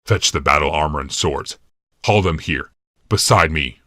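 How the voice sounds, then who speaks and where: A man voicing a cold, scheming commander in an audiobook, speaking in a measured, quietly sinister and commanding way. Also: a bass voice, no echo, studio quality, voice pushed down, heavily acted. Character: cold, scheming male commander and healer, smugly menacing. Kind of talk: audiobook character dialogue.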